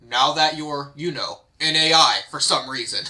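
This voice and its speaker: A man's voice: on the high side, moderate pace, sharp tone. A man giving casual, joking commentary during a table read.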